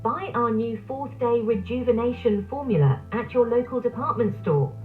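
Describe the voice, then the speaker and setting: A woman's voice: a little high, normal speed. A woman reading a commercial voice-over as an advertisement announcer. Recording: tinny narrow-band audio under loud music. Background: loud music.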